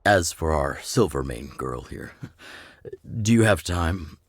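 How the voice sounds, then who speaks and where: A man playing a gruff but kindly older underground leader in a video game, with a gravelly voice and an unhurried, avuncular manner. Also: very deep voice, animated, dry recording, clean studio sound, exaggerated voice. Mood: calm, reassuring. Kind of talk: video game story dialogue. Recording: clear music under the voice.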